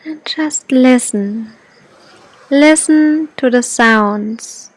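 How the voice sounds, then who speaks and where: Female meditation guide, high soft voice, extremely unhurried delivery, faint ambient noise behind her. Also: rough recording. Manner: soft, gentle, soothing, with long pauses.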